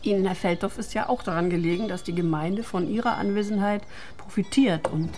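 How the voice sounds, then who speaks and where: A woman's voice: mid-range voice, a little fast, a little mellow, lightly acted. An actress playing a diplomatic middle-aged mayor and harried mother in a naturalistic radio drama. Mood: composed, official. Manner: polite, official, exasperated with her son. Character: diplomatic female mayor, embarrassed mother of a teenage son.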